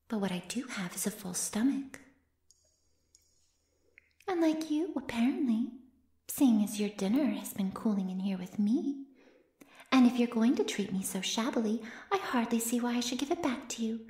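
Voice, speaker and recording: A woman's voice: on the high side, expressive, moderate pace, pauses often, a little mellow, roomy sound, lightly acted. A woman voicing a sly, haughty flesh-eating female monster in an ASMR roleplay, mocking and mock-innocent as she savors her words. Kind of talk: ASMR roleplay audio drama.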